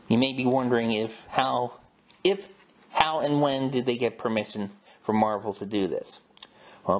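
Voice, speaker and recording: A man hosting a show, giving a casual, informative introduction. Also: medium pitch. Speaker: podcast host.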